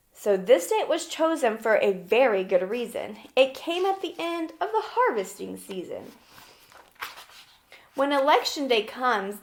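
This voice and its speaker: A woman with a slightly high voice speaks as an elementary school teacher. She reads aloud for young children and explains in simple, instructional terms, with frequent little check-ins. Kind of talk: children's read-aloud lesson with questions.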